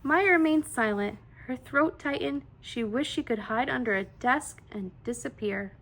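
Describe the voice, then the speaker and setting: A woman reading a children's story aloud in an expressive, deliberate style with dramatic emphasis on key words. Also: a little high, a little hiss or hum, rough recording. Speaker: children's read-aloud host.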